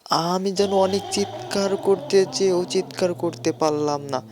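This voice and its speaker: A woman's voice: lowish voice, unhurried, background noise. A woman narrating a scripted first-person horror story as its storyteller.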